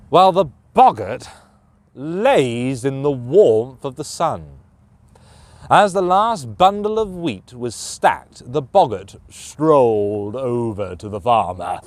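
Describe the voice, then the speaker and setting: A man narrating a children's fairytale as a storyteller, with theatrical, deliberate phrasing. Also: fairly high pitch, darkish tone, no echo.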